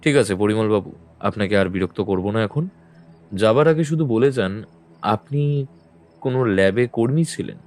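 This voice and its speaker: A man playing a calm, probing detective who questions people in a measured, firm way. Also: fairly low pitch, natural voice. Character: calm probing male detective, middle-aged. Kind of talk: audio drama acting. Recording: faint background music.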